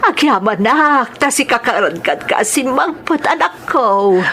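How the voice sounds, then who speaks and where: A tender older mother in an Ilocano radio drama. She speaks slowly and with feeling in a slightly high voice and an Ilocano accent, over faint background noise. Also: animated, fairly bright tone, exaggerated voice. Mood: tearful, grateful. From Philippines. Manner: tender, emotional, unhurried. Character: tender, grateful older mother of a returning son.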